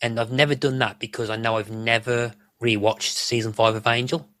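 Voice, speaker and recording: A man's voice: mid-range voice, steady tone, fairly quick, sharp tone, no room sound. A man chatting casually as a podcast guest, explaining things in a rambling way. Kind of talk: casual chat.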